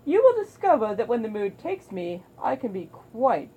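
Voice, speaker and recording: A woman's voice: on the high side, sing-song, slight background noise, low-quality recording. A woman playing a vain castle master who talks grandly to his servants. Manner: grand, drawn-out, sinking to a hush.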